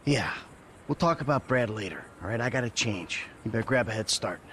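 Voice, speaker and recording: An actor playing a weary, sarcastic middle-aged ex-robber in a video game cutscene, talking brusquely and swearing. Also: male voice, fairly low pitch, animated, a little fast, a bit bright. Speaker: game character. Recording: game audio with clear engine noise. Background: traffic and vehicle noise.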